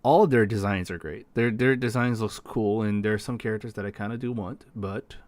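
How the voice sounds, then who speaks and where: A man's voice: on the low side, brisk, darkish tone. A man hosting a casual gaming tier-list commentary, explaining things in a chatty, rambling way. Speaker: host of a tier-list video.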